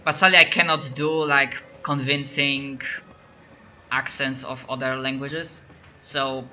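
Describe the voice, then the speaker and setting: A man's voice: medium pitch, level tone, slow pace, sharp tone, a little hiss or hum. A man from Poland with a Polish accent, narrating as game master and often stopping to restart his sentences. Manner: hesitant, lots of restarts.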